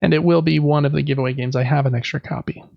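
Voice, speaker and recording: A man's voice: a little high, steady tone, quick pace, creaky, dry recording, dull audio. A man co-hosting a casual podcast, describing board games in an informal, hesitant, self-deprecating way. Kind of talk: casual show-and-tell chat about board games.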